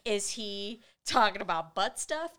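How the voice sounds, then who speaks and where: A woman chatting casually as she recaps a film with a co-host, laughing often and slipping into quick put-on lines for the characters. Her voice sits at an ordinary middle pitch. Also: animated, sharp tone, clear, resonant tone. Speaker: podcast co-host. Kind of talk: casual movie recap chat.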